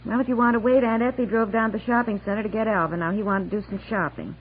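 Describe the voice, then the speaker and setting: An actress playing a squeamish, tender-hearted American housewife in a 1960s radio comedy, fussing and pleading in a conversational way. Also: female voice, medium pitch, steady tone, quick pace, close to an everyday voice. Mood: explaining, uneasy. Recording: muffled old radio recording, faint hum.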